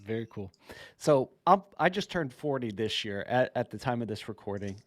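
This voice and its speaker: A man's voice: medium pitch, expressive, moderate pace, dry recording. Playful forty-year-old man host, dry sound. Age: 40s. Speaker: podcast host. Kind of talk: casual interview chat. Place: small studio with green screen. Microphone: boom mic on arm.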